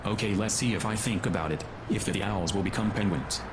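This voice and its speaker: Grumbling, low-voiced hero acted by a man. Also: fairly quick, lightly acted. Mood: puzzled, grumbling. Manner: grumbling, curt. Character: gruff, bad-tempered male hero on the run with robot. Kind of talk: scripted audio drama, muttered monologue. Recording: clear outdoor vehicle-like noise behind.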